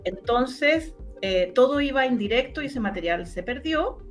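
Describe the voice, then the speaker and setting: Chilean woman recounting in halting phrases. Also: high-pitched, animated, faint music behind. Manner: halting, searching for words, repeating phrases. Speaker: interviewee, researcher and former radio journalist. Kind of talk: informal interview, recounting research.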